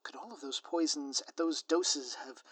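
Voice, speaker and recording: A man playing a scheming, theatrical supervillain in a scripted ASMR audio roleplay, with a pompous, florid, drawn-out delivery. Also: fairly high pitch, fairly bright tone, husky, no room sound. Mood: flustered, incredulous. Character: theatrical scheming male supervillain, gloating, menacing, easily flustered.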